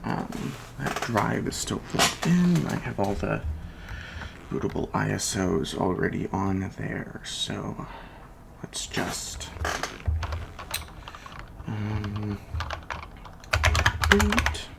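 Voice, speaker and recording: A person doing a soft-spoken ASMR roleplay as a repair technician, murmuring hesitantly to the listener through each step. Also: low voice, sing-song, very slow, long pauses. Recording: constant fan noise, clicks and chair creaks. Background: computer fan hum, clicking and typing.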